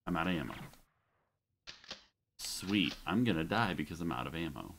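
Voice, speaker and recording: A man's voice: low-pitched, expressive, normal speed, background noise. A man in his thirties streaming live gameplay commentary, swearing and venting frustration at the game. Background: game music.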